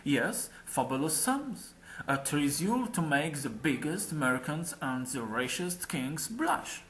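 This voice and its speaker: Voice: medium pitch, big pitch swings, slow pace, fairly bright tone. A storyteller voicing a destitute champion gambler in a folk tale, resigned and frank.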